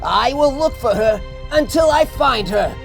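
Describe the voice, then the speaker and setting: A woman's voice: sits high, animated, measured pace, creaky, pitched above the performer's own voice, heavily acted. A woman voicing a cheerful, easygoing male bird in a storybook, talking in an eager, hopeful way. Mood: determined. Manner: bright-hearted, eager, exclamatory. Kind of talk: storybook character voice. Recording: music behind the voice.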